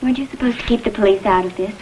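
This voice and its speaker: A woman's voice: mid-range voice, very fast, background noise, lightly acted. Anxious young daughter played by a woman, mid-range voice, earnest, direct delivery, vintage TV crime drama.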